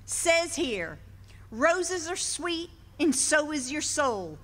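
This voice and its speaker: A woman's voice: high voice, very animated, slow, heavily acted. A woman playing a brash sister selling greeting cards in a live staging of a 1940s radio comedy, pushy and wisecracking. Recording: live stage in a hall.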